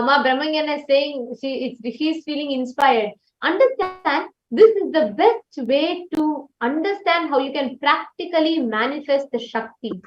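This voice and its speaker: High-voiced woman co-host, distant and roomy. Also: muffled recording, slight background noise. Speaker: co-host reading comments. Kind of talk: live stream moderation.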